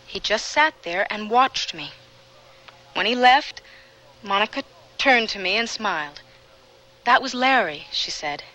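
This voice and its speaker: Troubled young woman, bright voice, halting confession, earnest, radio play. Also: on the high side, heavily acted, rough recording. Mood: reflective. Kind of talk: dramatic radio acting.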